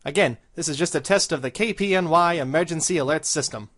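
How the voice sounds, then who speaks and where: Man as a high-voiced official pony announcer. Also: fast talker, heavy background noise, natural voice. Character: earnest, bumbling pony emergency broadcast announcer. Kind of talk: mock emergency announcement in radio play.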